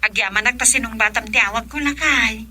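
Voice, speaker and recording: An actress playing an assertive wife who works abroad in a radio drama, speaking with an Ilocano accent. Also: female voice, a little high, brisk, close to an everyday voice. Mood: eager, insistent. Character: assertive wife working abroad, eager to spend.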